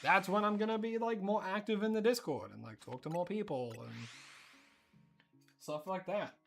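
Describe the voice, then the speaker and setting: An Australian streamer chatting casually while gaming, rambling and excitable, breaking into high squeals of surprise. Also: normal speed, gravelly, soft background music.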